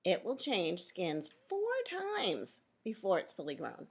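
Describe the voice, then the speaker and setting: A woman reading a children's nonfiction book aloud as a teacher, pausing to explain and question her young listeners directly. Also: fairly low pitch, sing-song, gravelly, slightly rough.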